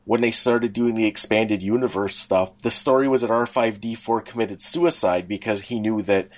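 Slightly low-voiced man thinking aloud on a podcast. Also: steady tone, moderate pace. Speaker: podcast co-host. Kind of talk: casual explanatory chat.

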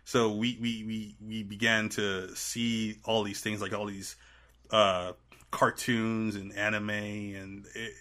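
A man in his thirties with a fairly low, bright voice, from Trinidad and Tobago. He talks in a casual interview style as a guest, feeling his way through sentences with frequent restarts. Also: flat delivery, takes it slowly. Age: 30s. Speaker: podcast guest.

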